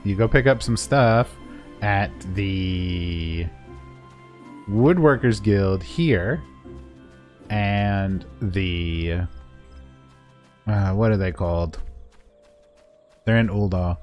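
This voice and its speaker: A man's voice: on the low side, drawn-out words, pauses often, a little mellow. A man giving a casual, jokey walkthrough over gameplay, playful and informal in the way he talks. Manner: playful, informal, voices paraphrased dialogue and silly sound words. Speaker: gaming video creator giving a quest guide. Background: music.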